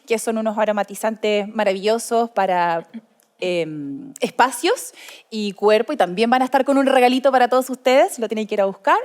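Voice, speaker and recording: A woman's voice: fairly high pitch, moderate pace, a little mellow. A Chilean woman hosting a live event in a chatty, informal way. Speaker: event host. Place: live event before a seated audience.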